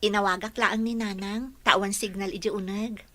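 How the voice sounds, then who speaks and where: A woman's voice: medium pitch, steady tone, moderate pace, pushed and bright, lightly acted. An actress playing a young, caring female caregiver in a radio drama, speaking politely. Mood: concerned. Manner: polite, addresses others as sir.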